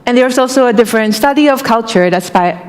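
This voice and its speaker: A woman's voice: on the high side, quick pace, darkish tone. A woman from Taiwan giving a chatty conference talk on stage, asking the audience questions as she goes. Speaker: conference speaker. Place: large conference hall. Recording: hall reverb.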